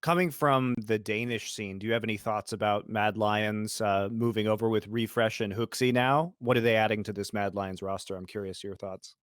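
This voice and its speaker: A man's voice: on the low side, fairly even intonation, normal speed, hardly any pauses, dry recording, clean studio sound. Playful man hosting a stream show. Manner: laughs easily, playful. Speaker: host.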